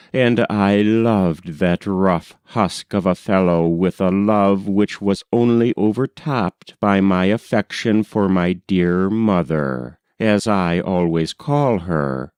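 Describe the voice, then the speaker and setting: A man narrating an old-fashioned literary novel as an audiobook reader, with measured, deliberate phrasing. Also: on the low side, darkish tone, clean tone, dry recording, studio quality. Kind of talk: scripted literary narration of a 19th-century novel.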